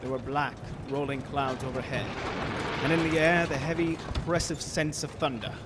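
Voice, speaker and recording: A man's voice: fairly high pitch, fairly even intonation, normal speed, very noisy, close to an everyday voice. A man playing an earnest young lawyer's clerk, narrating his journal formally and intensely in a gothic radio drama. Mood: tense. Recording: loud storm and carriage effects behind.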